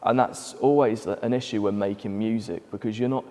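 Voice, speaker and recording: A raspy-voiced man, a band guest in an interview, telling rambling stories with lots of restarts. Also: lowish voice, steady tone, fairly quick, a little mellow. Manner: rambling storyteller, many restarts. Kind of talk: casual interview chat. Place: film studio stage. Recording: studio with long reverb.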